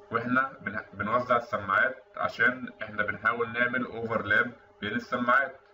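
A man's voice: medium pitch, bright, forceful tone. Egyptian man teaching in a very echoey room. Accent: Egyptian Arabic. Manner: explanatory, conversational. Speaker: instructor. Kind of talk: informal technical tutorial over on-screen documents. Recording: roomy, distant mic with faint noise, dull sound.

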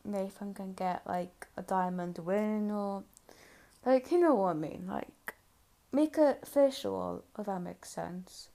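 A woman's voice: mid-range voice, slow, dark tone. A woman giving casual, hesitant spoken commentary as she reviews videos she is reacting to.

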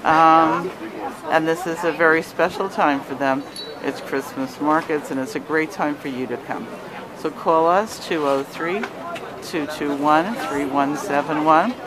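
A woman's voice: deep voice, unhurried. Chatty woman touring a crowded market. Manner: cheerful, chatty, promotional. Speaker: travel agent and host. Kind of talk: handheld vlog walking tour. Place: crowded outdoor Christmas market. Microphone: handheld phone camera. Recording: busy crowd noise around.

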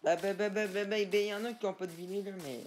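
A man's voice: high voice, fairly even intonation, fast talker. A man hosting a casual gameplay chat, stammering and repeating filler words in long runs. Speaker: gaming channel host. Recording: background noise.

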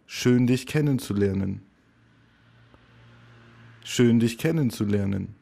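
Male lesson reader: very slow, dark, gravelly, careful drill delivery. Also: mid-range voice. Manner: slow, careful, each phrase said three times. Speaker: language teacher. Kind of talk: slow repeated phrase drill.

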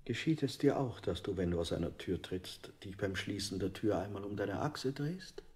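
An actor playing an insinuating, sinister interrogator in a 1960s radio play. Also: male voice, lowish voice, expressive, normal speed, a little mellow.

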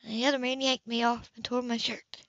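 A woman reader plays a young woman, wounded but unflinching, in an audiobook. Her voice is fairly high and controlled, with a slightly dull home-recorded sound. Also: flat delivery, brisk, no echo. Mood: quietly haunted. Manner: quiet, matter-of-fact recounting.

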